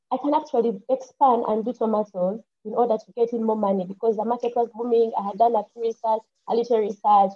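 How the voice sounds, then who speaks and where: A young woman with a Ugandan English accent, an engineer and farmer, telling her story earnestly on an online panel, often restarting her sentences. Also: on the high side. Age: young adult. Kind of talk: casual personal account on an online panel. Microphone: computer mic on a video call. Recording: muffled lo-fi mic.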